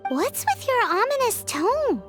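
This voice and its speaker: A woman voicing a tiny, childlike fairy companion in a video game, chirpy, cheeky and excitable with a squeaky voice. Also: measured pace, music in the background, full character voice. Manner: excitable, refers to self in third person.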